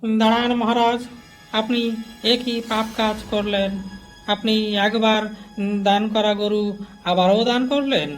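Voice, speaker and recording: An actor playing a poor, stubborn, righteous Brahmin man in a cartoon, speaking in halting, declamatory phrases. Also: high-pitched, steady tone, soft background music. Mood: indignant. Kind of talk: cartoon dialogue.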